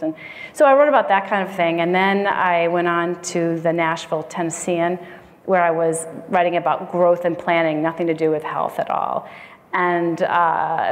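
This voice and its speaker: A woman in her thirties from the United States, a medical writer chatting as a guest on a live podcast, with frequent laughs and fillers. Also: lowish voice. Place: conference stage before an audience. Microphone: lapel mic. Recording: long hall reverb.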